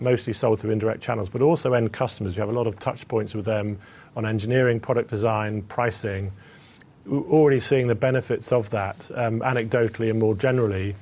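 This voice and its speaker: A man speaking as a company executive, answering analysts on an earnings call in a hesitant, halting, measured way. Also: mid-range voice, a little mellow.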